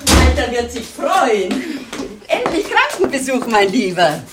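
An actress playing a sharp-tongued, jealous, disapproving wife in a German radio crime play, mocking and pointed under a polite surface. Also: female voice, on the high side, sing-song, a little slow, background noise. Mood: falsely cheerful.

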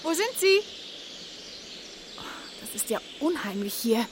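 A woman's voice: high voice, big pitch swings, a little slow, a little hiss or hum. A woman playing a plucky, cheeky young balloon pilot in a children's radio play, chatty and matter-of-fact. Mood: searching.

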